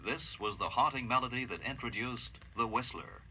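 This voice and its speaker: A man's voice: medium pitch, expressive, normal speed, pushed and bright, slight background noise. A man reading scripted retrospective narration as a documentary narrator.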